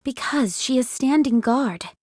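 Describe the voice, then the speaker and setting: An actress playing an earnest young android squad leader in a game drama, strained but determined, speaking firmly and insistently. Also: female voice, sits high, animated, a little slow, a little mellow, dry recording, studio quality. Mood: pleading.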